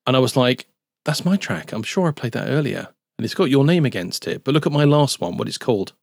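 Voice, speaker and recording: A man's voice: mid-range voice, expressive, fairly quick, gravelly, no echo, clean studio sound. A man co-hosting a music podcast, chatting casually between tracks with rambling asides. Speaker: podcast co-host. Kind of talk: casual radio-style chat between tracks.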